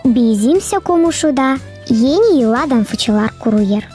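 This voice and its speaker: A woman's voice: high-pitched, animated, a little slow, dark tone. A woman voicing a cheeky, know-it-all young hedgehog in a children's cartoon, naive and boastful. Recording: music behind the voice.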